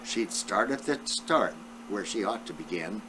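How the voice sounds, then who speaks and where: Older male, great-grandfather storybook reader, lively intonation, slow pace, speaks straight to the kids. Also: on the low side, background noise. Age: 70s or older. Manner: talks directly to young listeners with asides. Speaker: great-grandfather reading aloud. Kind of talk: children's storybook read-aloud.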